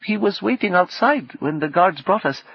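A man's voice: sits high, lively intonation, moderate pace, vocal fry, slightly rough, no room sound, muffled recording, pitched above the performer's own voice, exaggerated voice. A man voicing a shy, earnest woman with careful, formal phrasing in an audiobook. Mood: earnest, unsure.

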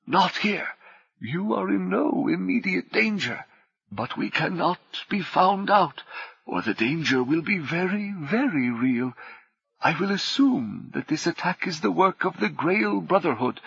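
A spectral man pleads for calm while barely keeping his own panic down, as a man puts him on. The voice sits well above the reader's own pitch, quiet and husky, on a dull, dry recording. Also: full character voice. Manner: strained, laboring to sound calm. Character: spectral man, urgent but forcing calm.

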